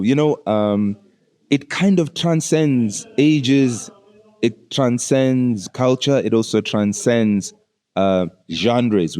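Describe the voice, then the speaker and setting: A man's voice: mid-range voice, warm tone. A man hosting a podcast, chatting casually with a guest in slangy, familiar language. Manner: uses slangy, familiar address like "bro". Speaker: podcast host interviewing a musician. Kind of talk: casual conversational interview.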